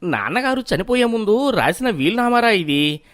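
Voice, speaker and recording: A man's voice: high-pitched, very animated, moderate pace, a bit bright, no echo. A man playing an elder brother and henpecked husband in a moral story, talking in a placating way. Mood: falsely solemn.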